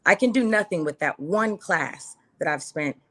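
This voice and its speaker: Low-pitched woman, emphatic storyteller. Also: lively intonation, normal speed, a bit bright. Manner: emphatic, repeats phrases and rhetorical questions. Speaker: interview guest. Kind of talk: casual personal storytelling.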